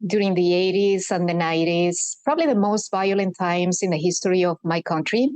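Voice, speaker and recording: A woman, speaking as a guest in a podcast interview and explaining things in an earnest, measured way. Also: lowish voice, a little mellow, no room sound. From Colombia. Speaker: guest, corporate sustainability executive.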